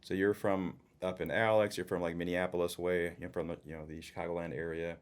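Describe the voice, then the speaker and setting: A forty-year-old man from northern Illinois co-hosts a podcast interview. His voice is very low. He talks conversationally, summing up what the guests have said. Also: little pitch movement, fairly quick, smooth. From Waukegan, Illinois area. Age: 40s. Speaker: co-host.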